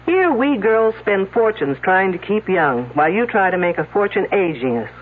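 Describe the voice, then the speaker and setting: A woman's voice: mid-range voice, expressive, moderate pace, close to an everyday voice. An actress playing a brash, shrewd woman detective in a radio drama, sardonic and commanding. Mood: accusing, wry. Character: brash, wisecracking female detective, shrewd and sarcastic. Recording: muffled old radio broadcast recording.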